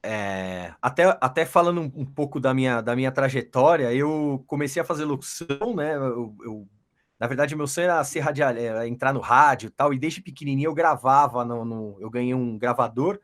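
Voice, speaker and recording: A man's voice: mid-range voice, moderate pace, fairly bright tone. A Brazilian man, a professional voice-over artist, chatting casually about his experience and repeating words as he goes. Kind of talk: casual chat, telling his own experience.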